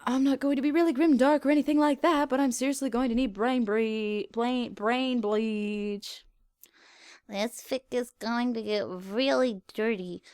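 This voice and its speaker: Woman reading a story, slightly high voice. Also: steady tone, measured pace, darkish tone, clean tone, dry recording, clean studio sound. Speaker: fan fiction reader. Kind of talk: expressive scripted story narration.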